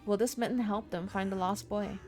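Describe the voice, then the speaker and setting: A woman's voice: mid-range voice, fairly even intonation, brisk, a little mellow. A woman game streamer reading a horror visual novel aloud, dramatically, with spontaneous asides. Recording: music behind the voice. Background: game music.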